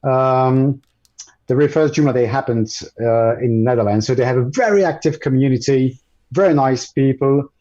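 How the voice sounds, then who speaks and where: A man whose first language is French walks through a tutorial on a video call. His voice is mellow and soft-edged, and the audio sounds muffled. He speaks haltingly, with frequent ums and restarts. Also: mid-range voice. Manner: hesitant, many ums and restarts. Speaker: guest presenter. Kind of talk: live screen-share tutorial.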